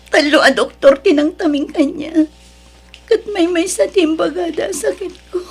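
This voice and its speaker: A woman's voice: very high-pitched, a little slow. A woman with an Ilocano accent playing a sick, tearful, ashamed wife pleading with her family in an emotional melodrama. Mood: fearful, sorrowful. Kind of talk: Ilocano radio-style melodrama acting.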